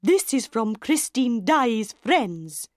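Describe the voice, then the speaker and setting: A woman's voice: fairly high pitch, big pitch swings, slow pace, dry recording, studio quality, voice pushed up. A woman voicing a vain, proud opera singer who speaks in a raised, haughty way in a storybook reading. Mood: indignant.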